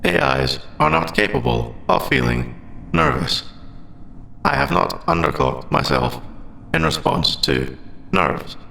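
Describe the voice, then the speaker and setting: A man voicing a formal, conflicted, lovestruck artificial intelligence in a scripted ASMR audio roleplay, speaking in halting, clipped robotic phrases. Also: medium pitch, big pitch swings, gravelly, pushed and bright, echoey room, a little hiss or hum, exaggerated voice. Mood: uneasy, confused. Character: lovestruck sentient AI, formal, conflicted, hesitant about forbidden feelings.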